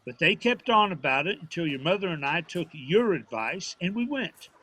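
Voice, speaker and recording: A man's voice: a little high, big pitch swings, moderate pace, bright, forceful tone, heavily acted, poor audio. An actor playing a stubborn, proud, plainspoken old father from a small town, folksy and prickly, in an audio drama. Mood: firm, proud. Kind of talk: naturalistic audio drama acting at a live reading. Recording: faint noise of live stage performance.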